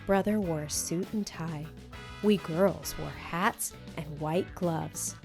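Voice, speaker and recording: A woman's voice: deep voice, slow pace, vocal fry, faint music behind. A woman reading a children's story expressively, as scripted narration, acting out the dialogue. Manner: animated, acts out each character's dialogue. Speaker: storybook reader.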